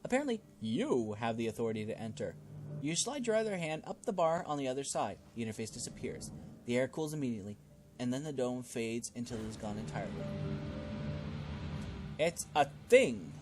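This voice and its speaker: A man playing through a role-playing game, reading its text aloud and adding casual, expressive commentary of his own. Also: mid-range voice, a little fast, over music. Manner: expressive, reacting out loud to the game. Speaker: gaming video host playing a role-playing game.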